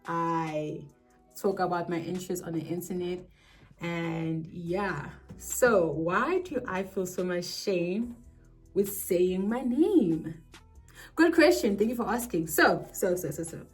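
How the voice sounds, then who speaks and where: South African female vlogger, twenties, English learned as second language. Slightly low voice, playful casual chat that wanders off, faint music underneath. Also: slow pace. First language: non-English South African language. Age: 20s. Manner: playful, rambling asides and self-interruptions. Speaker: streamer and vlogger. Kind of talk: casual chatty vlog to camera. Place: alone in a bedroom.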